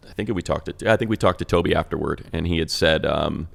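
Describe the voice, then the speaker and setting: A man with an extremely deep, fry-laced voice talks football on a casual sports show. He is a radio play-by-play announcer, and he analyzes at length, circling back and restarting his sentences as he builds his points. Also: fast. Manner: rambling and analytical, many restarts.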